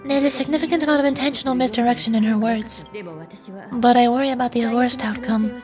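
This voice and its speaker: Woman as shrewd female leader, composed, analytical, high voice, video game script read aloud, music behind. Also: fairly even intonation, close to an everyday voice. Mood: serious, wary. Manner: analytical, deliberate, polite.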